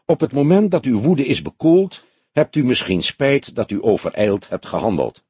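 A man voicing a polite, wry old police detective with a measured, courteous manner in an audiobook. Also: medium pitch, very animated, breathy edge, no room sound. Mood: soothing. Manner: courteous, measured, gently mocking. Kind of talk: audiobook character dialogue.

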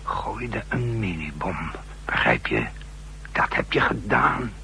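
Manipulative male accomplice, deep creaky voice, deliberate and insistent, hissy vintage radio play. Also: sing-song, bright, forceful tone, full character voice. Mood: slow, insistent. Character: stern, manipulative male secret-service accomplice. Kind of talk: 1960s radio drama acting. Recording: hissy, humming 1960s radio recording.

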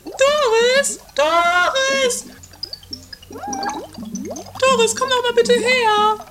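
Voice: very high-pitched, fairly even intonation, drawn-out words, exaggerated voice. A performer voicing a bossy, scornful, melodramatic sister in an improvised trashy comedy radio play. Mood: scornful, dramatic. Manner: haughty, exclaiming. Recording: music under amateur 1980s radio play.

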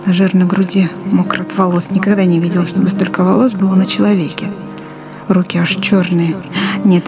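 A woman's voice: medium pitch, lightly acted. A woman playing a terrified pregnant village mother, dazed with dread, in an audio drama monologue. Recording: music behind the voice.

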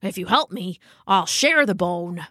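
A woman's voice: fairly low pitch, expressive, a little slow, sharp tone, no echo, clean studio sound, heavily acted. A woman voicing a humble, earnest old male dog in a storybook, speaking hesitantly and sincerely. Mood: bargaining. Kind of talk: storybook character voice.

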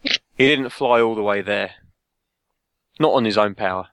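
A man's voice: lowish voice, measured pace, a bit bright, dry recording. A man co-hosting a casual podcast chat, joking and riffing with friends. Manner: joking, puts on silly accents. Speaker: podcast co-host.

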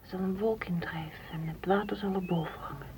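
An actress playing a solitary, dreamy woman in a radio drama, speaking in a hushed, poetic way. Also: fairly low pitch, fairly even intonation, a little fast, a little hiss or hum, close to an everyday voice. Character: solitary, dreamy, independent woman longing for open space. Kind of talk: literary radio drama acting.

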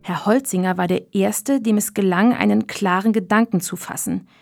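A woman narrating a scripted children's story in a measured, expressive way, with a creaky voice. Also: medium pitch, soft background music.

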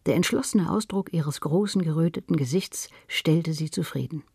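A woman's voice: low voice, moderate pace, a little mellow, breathy edge, no echo, clean studio sound. A woman reading literary prose as a scripted narrator.

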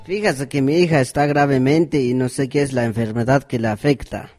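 A man's voice: mid-range voice, fairly even intonation, fairly quick, darkish tone, faint music behind, natural voice. A man with a Guatemalan accent acting a humble, worried, courteous village father in an amateur radio drama. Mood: worried, polite. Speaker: actor in community radio drama.

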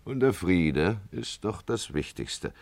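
Deep-voiced, wry old-style town judge. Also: male voice, very animated, takes it slowly, gravelly, a little mellow, no echo, heavily acted. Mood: stern, persuasive. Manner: sardonic, swings from confiding asides to declaiming. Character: weary, wry male town judge urging peace. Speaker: judge and first-person narrator. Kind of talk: radio play acting with confiding narration.

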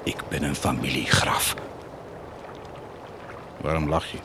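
A man's voice: extremely low pitch, slow, noisy background, full character voice. An actor playing a cynical, blasphemous army commander who is proud of his wickedness, in a theatrical, declamatory radio drama style with defiant outbursts. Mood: boastful, defiant. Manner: declamatory, defiant, swinging from muted menace to outbursts.

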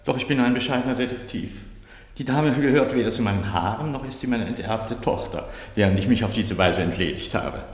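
A man's voice: medium pitch, lively intonation, fairly quick, husky. A man playing an eager, suspicious, stubborn amateur detective in a German radio play, speaking with dramatic, insistent emphasis. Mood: ironic.

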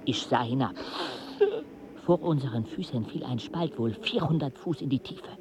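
Casting: male actor as deadpan, dazed climber narrating an expedition, medium pitch, radio drama with clear background noise. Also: moderate pace, close to an everyday voice. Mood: dazed, dry. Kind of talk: radio play narration and dialogue.

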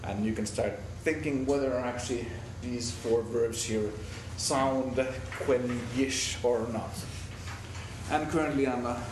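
Finnish man, guest linguistics lecturer; hesitant, repeats words; distant, roomy, noisy recording. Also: mid-range voice. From Finland. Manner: halting, many restarts and repeated words. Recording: echoey room, distant mic, noisy.

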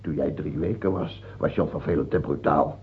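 A man's voice: very deep voice, brisk, a little hiss or hum. An actor playing a scheming, imperious aging French king in a radio play, theatrical, moving between murmured musing and scornful outbursts. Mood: grudging. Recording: dull, muffled old radio recording.

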